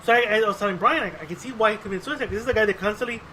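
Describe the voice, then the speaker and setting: A man's voice: high-pitched, racing, sharp tone, a little hiss or hum. A man co-hosting a podcast in casual chat, often repeating his own phrases. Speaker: podcast host.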